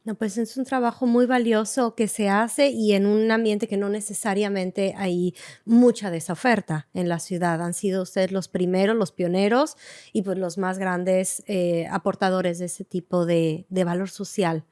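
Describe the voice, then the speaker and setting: A woman's voice: mid-range voice, steady tone, normal speed, no room sound, clean studio sound. Woman hosting an affirming interview. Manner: warm affirming, many fillers and back-channels. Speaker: interview host. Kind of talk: conversational interview.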